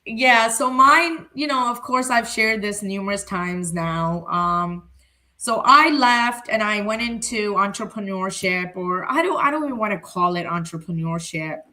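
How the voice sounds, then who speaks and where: A woman's voice: mid-range voice, lively intonation, measured pace, sharp tone. A woman who is a family therapist, a native Urdu speaker, talking candidly and reflectively in a casual panel conversation, laughing easily. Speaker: family therapist and center founder.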